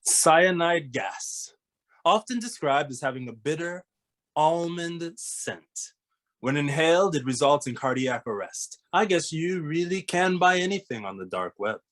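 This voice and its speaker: Man acting a theatrical young sleuth, higher-pitched. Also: animated, a little slow, a bit bright. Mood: grave, knowing. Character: young male amateur detective, theatrically explaining the crime. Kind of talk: animated comedy table read, dramatic deduction monologue.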